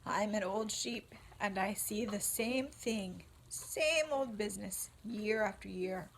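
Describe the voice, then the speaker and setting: A woman's voice: medium pitch, slow, a little hiss or hum. A woman voicing an old female sheep who delivers bad news bluntly and matter-of-factly.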